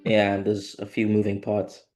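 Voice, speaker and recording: A man from Thailand with a fairly low voice talks over a video call. He sounds easygoing and frank. He uses some fillers as he goes. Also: monotone, moderate pace, a little mellow. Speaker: co-host of an online workshop. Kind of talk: casual online session chat.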